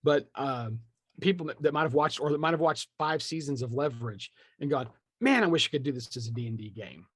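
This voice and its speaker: A man's voice: medium pitch, fairly quick, husky, no echo. Wry man chatting, close dry sound. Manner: animated storyteller, acts out imagined remarks. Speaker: guest, tabletop game writer. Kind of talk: casual conversational interview.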